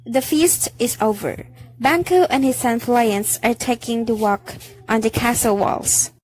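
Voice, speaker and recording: A woman's voice: a little high, unhurried, a little mellow, soft background music, lightly acted, rough recording. A woman acting as the storyteller narrator of a radio drama, reading scripted scene descriptions. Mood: measured.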